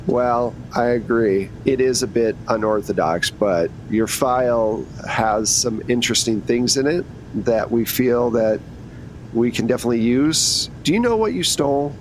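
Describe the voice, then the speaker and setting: A man's voice: measured pace, close to an everyday voice, poor audio. A man playing a composed, businesslike female FBI agent in her thirties in a tabletop game, matter-of-fact and persuasive. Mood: guarded, explaining. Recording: noticeable low rumbling background noise.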